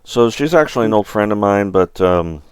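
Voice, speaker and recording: A middle-aged man hosting a gaming livestream, chatting casually in a rambling, halting way. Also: fairly low pitch, animated, smooth, no echo.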